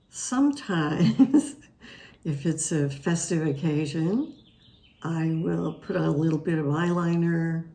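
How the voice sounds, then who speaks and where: Gravelly older woman, slow and mellow. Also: sits low. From Utah. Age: 70s. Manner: unhurried, with small laughs. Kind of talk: interview answers.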